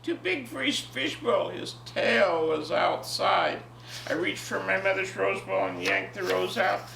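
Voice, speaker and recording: Jovial, creaky-voiced woman of ninety. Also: mid-range voice, measured pace. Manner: jovial, expressive. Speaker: grandmother telling a family story. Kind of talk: casual reminiscing and animated story reading for family. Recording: echoey, distant home recording with faint noise.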